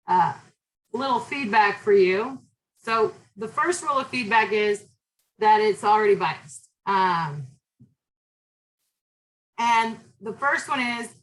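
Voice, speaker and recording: Loud, direct woman lecturing. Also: mid-range voice, rough recording. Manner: direct, chatty, lots of fillers. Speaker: professor. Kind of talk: casual classroom lecture.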